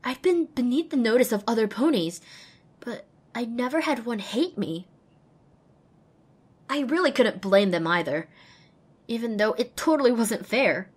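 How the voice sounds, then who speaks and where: A woman's voice: fairly high pitch, animated, measured pace, long pauses, husky. A woman playing a small, anxious outcast pony mare who tells her own story expressively and introspectively in an audio drama. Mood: wry, dejected. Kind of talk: first-person dramatic audiobook narration.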